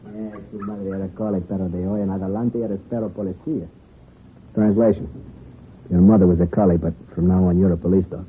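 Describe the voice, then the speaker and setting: Brisk, good-natured policeman played by an actor. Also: deep voice, steady tone, natural voice. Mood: playful. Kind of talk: 1950s radio police drama acting. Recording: muffled, noisy old radio broadcast.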